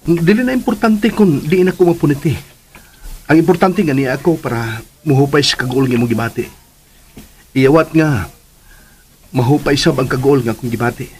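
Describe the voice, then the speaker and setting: An actor playing a lovesick, teasing young son in a radio drama, earnest and playful, with a Filipino accent. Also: male voice, on the high side, normal speed, long pauses, darkish tone, a little hiss or hum, close to an everyday voice, poor audio. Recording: music under the voice.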